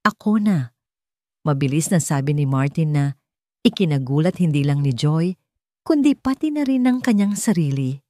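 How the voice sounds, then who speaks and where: A woman's voice: deep voice, dark tone, no echo, clean studio sound. A woman with a Filipino accent reading a scripted, dramatic audio story as its narrator.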